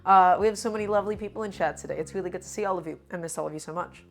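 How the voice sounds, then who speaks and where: A woman's voice: on the low side, rapid-fire, faint music behind. A woman hosting a live cooking stream, slightly sick and a bit nasal, talking in an upbeat, chatty way. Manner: upbeat, chatty, greets chat by name.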